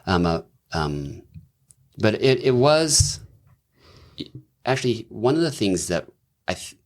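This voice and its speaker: Middle-aged male guest, very low voice, musing delivery, lots of ums and restarts. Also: sing-song, slow. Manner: thoughtful, rambling, many fillers and restarts. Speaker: doctor and businessman, podcast guest. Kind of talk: casual conversational interview.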